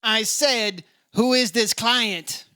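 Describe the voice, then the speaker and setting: Man as a high-voiced wisecracking radio detective. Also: takes it slowly, sharp tone, clear, resonant tone, no echo, exaggerated voice. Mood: breezy, wry. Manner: wisecracking, dry. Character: wry, breezy male insurance investigator, hard-boiled but kindly. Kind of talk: 1950s-style radio detective acting and narration.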